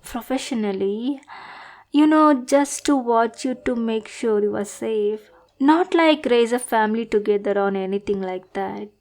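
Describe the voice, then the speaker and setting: A woman's voice: a little high, a little mellow. A woman playing an obsessive, lovestruck bodyguard in an audio roleplay, sweet and awkwardly gushing as she talks to her boss. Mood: adoring. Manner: sweet, adorkable, slow, gushing then backpedalling. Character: obsessive, lovestruck young female bodyguard, sweetly bossy and possessive. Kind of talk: acted audio roleplay addressed to listener.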